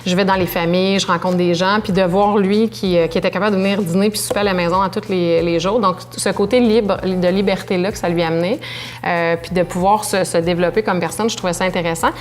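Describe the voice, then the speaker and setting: A woman's voice: on the low side, fast, slight background noise. A middle-aged woman with a Québécois French accent, talking candidly as an interview guest and filling her speech with lots of fillers. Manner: lively, candid, with frequent fillers. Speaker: business owner, interview guest. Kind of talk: conversational interview.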